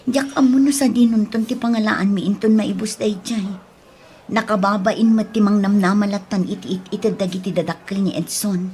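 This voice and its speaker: A woman's voice: mid-range voice, normal speed, mellow, close to an everyday voice. An actress with an Ilocano accent playing an anxious, pleading wife and mother in a radio drama. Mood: worried. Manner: fretful, pleading. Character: anxious wife and mother fretting over money. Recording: clear background ambient noise.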